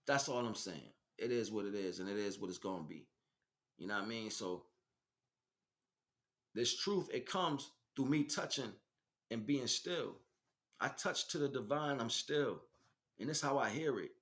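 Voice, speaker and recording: A man's voice: mid-range voice, lively intonation, measured pace, pauses often, fairly bright tone. An American man delivering an informal spiritual monologue as a teacher, speaking emphatically in streetwise slang and addressing listeners directly.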